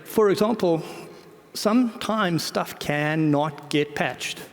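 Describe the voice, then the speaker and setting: A man's voice: fairly high pitch, slow. A man speaking informally as a conference presenter, colloquial and self-deprecating, often repeating words and backtracking as he goes. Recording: reverberant hall recording.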